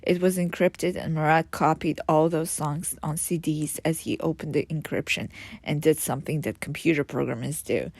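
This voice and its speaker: A woman with a low, grounded voice narrates her own life story in English. She reads in an earnest, reflective way and now and then stumbles and restarts a word. The recording is close and dry, with no room sound. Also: gravelly, a little mellow, no echo. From Israel. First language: Hebrew. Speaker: memoir author reading her own book. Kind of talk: first-person memoir narration.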